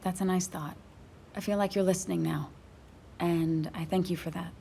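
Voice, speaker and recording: An actress playing a weary, grieving woman in her thirties, confiding haltingly in naturalistic video game drama. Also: lowish voice, fairly even intonation, creaky, mellow, slight background noise. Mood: sad, reflective.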